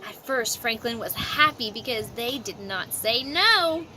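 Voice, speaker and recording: High-pitched, animated woman reading a children's story. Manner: expressive, deliberate storytelling phrasing. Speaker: storybook reader. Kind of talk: read-aloud of a children's story with chatty asides. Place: outdoors at a park. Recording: noisy outdoor amateur recording, ambient rumble.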